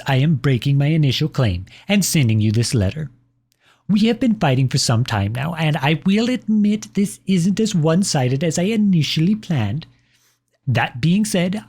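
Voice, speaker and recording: A man's voice: fairly high pitch, darkish tone, husky, exaggerated voice. A man playing a pompous, jilted personification of Britain in a comedic audio roleplay, reading formal letters with petulant indignation.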